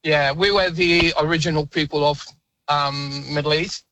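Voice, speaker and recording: A man from Iraq whose first language is Assyrian, calling in to a radio show with a fairly high, bright and forceful voice. He talks in a friendly way but halts and hunts for words, and his voice comes through dry. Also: fairly even intonation, moderate pace, dry recording, dull audio. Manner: friendly, hesitant, with fillers. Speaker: caller. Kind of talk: phone-in chat.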